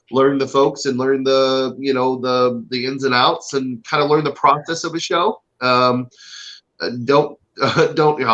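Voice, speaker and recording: A man speaking casually in an interview as a stage actor, earnest and halting, often repeating his phrases. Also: medium pitch, a bit bright, dull audio.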